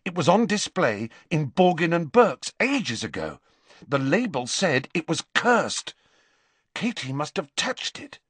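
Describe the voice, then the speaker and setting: Man playing a teenage boy wizard, raised higher voice, urgent, storybook reading, dry. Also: big pitch swings, measured pace, breathy edge, dry recording, exaggerated voice. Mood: tense. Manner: shouting over wind.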